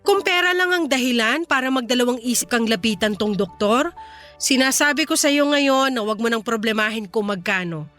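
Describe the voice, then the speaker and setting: A woman's voice: a little high, moderate pace, sharp tone, faint music behind. A woman acting a kind, reassuring company boss in a Filipino radio drama, earnest and composed, with a Filipino accent.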